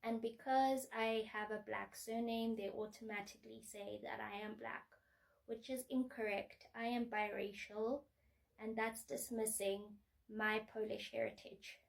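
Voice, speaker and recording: A woman vlogger speaking to the camera in an earnest, measured, reflective way. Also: a little high, little pitch movement, vocal fry, recorded from a distance, low-quality recording. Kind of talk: personal vlog monologue.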